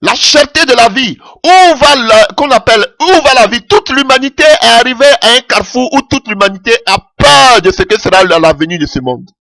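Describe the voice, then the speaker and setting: A man's voice: animated, fairly quick, few pauses, pushed and bright, no room sound. A man preaching a sermon with passion, calling out alleluia often as his voice rises. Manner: impassioned, rising pitch with frequent alleluias.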